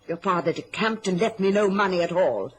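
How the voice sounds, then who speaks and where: A woman's voice: lowish voice, fairly quick, breathy edge. An actress playing a bitter, scheming, self-pitying middle-aged mother in an old radio drama, with an indignant, martyred, theatrical manner. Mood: bitter, self-justifying.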